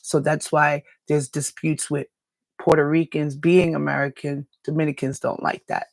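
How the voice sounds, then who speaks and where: Woman talk-show host, raspy and dry-throated, earnest lecturing tone, close dry sound. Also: sits low, little pitch movement, moderate pace, no room sound. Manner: earnest, lecturing, with small laughs and restarts. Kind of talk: live talk radio monologue answering comments.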